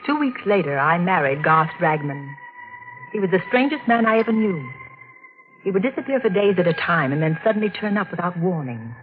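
A woman's voice: lowish voice, animated, brisk, natural voice. A woman playing an anxious but determined wife in a 1940s radio suspense drama, with dramatic intensity. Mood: tense, haunted. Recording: dull vintage radio recording with music.

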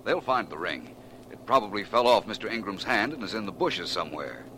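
An actor playing a suave, self-assured private detective in 1940s radio drama style, speaking with precise, urbane wording. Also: male voice, on the low side, expressive, a little fast, bright, forceful tone. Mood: confident, probing. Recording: noisy vintage 1940s radio recording.

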